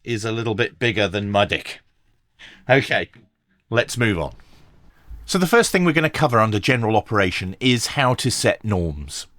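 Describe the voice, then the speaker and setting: A man talks through a piece of equipment as a reviewer would, in a casual and understated manner. His voice sits at an average pitch and is recorded dry, with very little room sound. He explains things plainly and conversationally. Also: a bit bright, no room sound. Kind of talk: casual product review and walkthrough.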